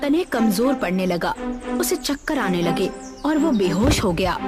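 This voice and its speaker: A woman's voice: mid-range voice, expressive, a little slow. A woman reading a scripted children's story in a narrator's role. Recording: music behind the voice. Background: background music.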